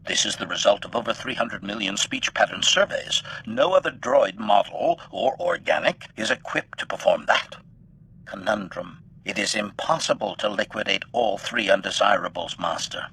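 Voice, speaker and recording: An actor voicing a cold, bloodthirsty but loyal assassin droid in a video game, speaking formally and deadpan through a robotic filter. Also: male voice, fairly low pitch, moderate pace, breathy edge, exaggerated voice. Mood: cold, efficient. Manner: formal, clipped, announces each statement with a label. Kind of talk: scripted video game acting. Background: background music.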